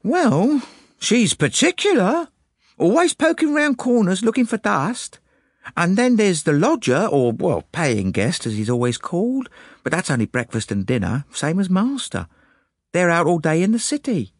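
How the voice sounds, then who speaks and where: Young English maid, working-class, high voice, swooping intonation, excitable, gossipy, breathless, audiobook character. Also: male voice, moderate pace, dry recording, clean studio sound, exaggerated voice. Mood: chatty, confiding. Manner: breathless, chatty, colloquial.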